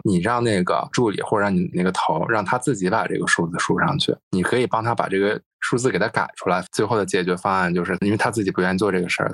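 Male podcast host, low register, quick informal storyteller, casual chat, close dry sound. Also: a bit bright, no echo.

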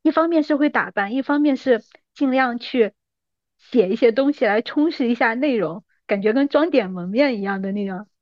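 A woman's voice: a little high, moderate pace, no room sound, lo-fi sound. A woman in her thirties talking as a podcast guest in a casual, reminiscing conversation. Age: 30s.

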